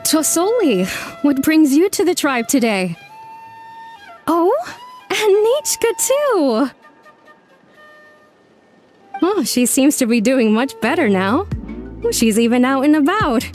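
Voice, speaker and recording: A woman's voice: sits high, sing-song, unhurried, darkish tone, faint music behind. A woman playing a kindly, obliging villager in a video game, friendly and chatty. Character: kindly, helpful village woman, fond of ores. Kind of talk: video game character voice acting.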